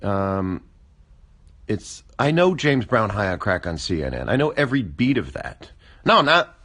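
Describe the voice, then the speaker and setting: A man of forty with a very low voice that still swings widely in pitch as he riffs. He talks casually and wryly on a phone livestream, drifting from musing to dry, deadpan jokes. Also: moderate pace. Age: 40s. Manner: wry, musing, deadpan asides. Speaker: livestream host.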